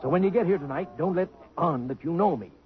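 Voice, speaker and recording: An actor playing a gruff, penny-pinching old millionaire posing as a poor boarder in a 1950s radio drama. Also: male voice, a little high, expressive, quick pace, natural voice. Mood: brisk. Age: older. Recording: muffled old radio broadcast.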